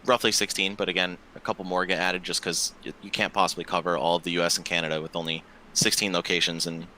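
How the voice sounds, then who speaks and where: A young man in his twenties with a very low voice and a bright, forceful edge. He talks loosely and at length as a podcast guest, scattering fillers and the odd curse, over a faint ambient hiss. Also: little pitch movement, quick pace, rough recording. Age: 20s. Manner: loose and talkative, heavy use of filler words and swearing. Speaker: podcast guest, esports tournament organizer. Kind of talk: casual podcast chat.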